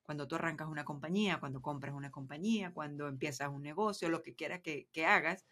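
A woman's voice: low voice, fast, a bit bright. A young adult woman with a Venezuelan accent, a business guest chatting casually in a podcast interview and dropping English words into her Spanish. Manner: chatty, mixes English words, checks in with "¿sí me explico?". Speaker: guest entrepreneur.

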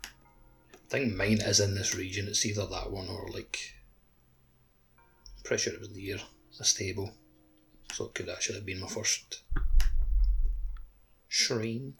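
Male co-host, fairly low pitch, tentative casual conversation, faint music underneath. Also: fairly even intonation, unhurried, gravelly, long pauses, bright, forceful tone. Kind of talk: casual chat.

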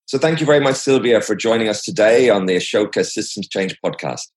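A man's voice: lowish voice, expressive, a little fast, background noise. A man hosting a podcast, introducing the show and interviewing guests. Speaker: podcast host.

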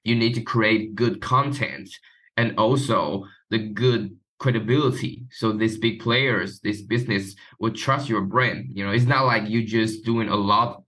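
A man's voice: on the low side, steady tone, unhurried, fairly bright tone, poor audio. A man from Taiwan, a guest chatting casually in an interview. His phrasing is loose and sprinkled with "you know". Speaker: guest, marketing professional.

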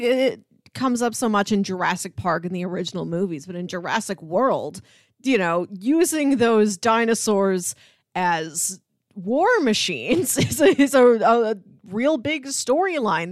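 A woman's voice: mid-range voice, very animated, normal speed, no room sound, clean studio sound. A woman in casual film discussion, musing aloud with wry, joking asides. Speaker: film podcast host.

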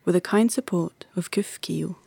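A woman narrates a radio play calmly and steadily. Her voice is lowish and mellow. The delivery is measured and clearly scripted. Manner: calm, measured.

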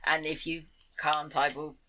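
A woman's voice: low voice, level tone, unhurried, sharp tone, a little hiss or hum, rough recording. A woman voicing a matter-of-fact British wartime official who helps the team. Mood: dry.

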